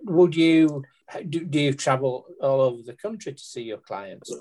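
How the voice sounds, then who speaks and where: A man's voice: mid-range voice, expressive, moderate pace, darkish tone. A man interviewing guests on a podcast, putting leading, prompting questions.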